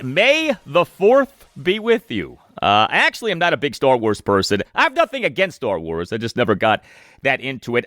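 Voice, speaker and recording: An American man hosting a sports podcast solo, speaking in an emphatic, deliberate announcer style. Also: medium pitch, a bit bright, no echo, studio quality. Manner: emphatic, deliberate announcer delivery with repeated phrases. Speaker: podcast host. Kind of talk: sports podcast monologue, reading listener mail.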